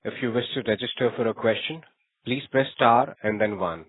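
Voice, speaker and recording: A man's voice: mid-range voice, fairly quick, husky, no echo. A man working as a conference call operator, reading scripted announcements. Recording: dry, narrow phone line.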